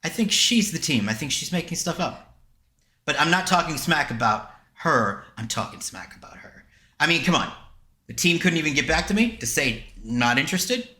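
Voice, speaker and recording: A man's voice: fairly high pitch, very animated, normal speed, bright, forceful tone, reverberant. A man chatting casually as a podcast host, wry, rambling and playful. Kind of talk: casual chat.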